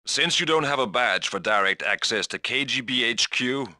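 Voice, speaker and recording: An actor playing a brisk, businesslike spy agency handler in a video game, delivering a scripted mission briefing. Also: male voice, mid-range voice, lively intonation, bright, forceful tone, no echo, clean studio sound.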